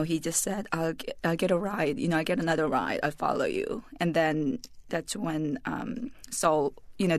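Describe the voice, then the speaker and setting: A woman in her forties from South Korea, speaking with a Korean accent, thoughtful and reflective, pausing often with ums and restarts as a radio interview guest. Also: low voice, no echo, clean studio sound. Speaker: author and journalist guest.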